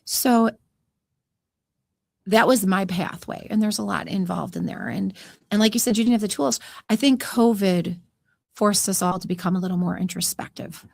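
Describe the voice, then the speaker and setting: A woman hosting a podcast talks in an upbeat, chatty way and laughs easily. Her soothing voice carries a gravelly fry. It sounds close and dry, with no room around it. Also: mid-range voice, normal speed, darkish tone, no room sound. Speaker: podcast host. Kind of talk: conversational podcast interview.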